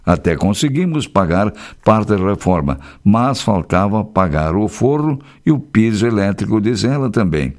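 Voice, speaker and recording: A man's voice: fairly low pitch, very animated, moderate pace, few pauses. Chatty man reading local news. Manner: chatty, folksy commentary between read news items. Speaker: radio news host.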